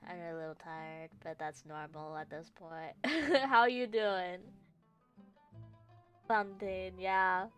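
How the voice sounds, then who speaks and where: Bright-voiced woman game streamer. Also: lowish voice, level tone, slow, clear, resonant tone. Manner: playful, giggly, chatting with viewers. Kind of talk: casual livestream chat and reactions. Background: faint background music.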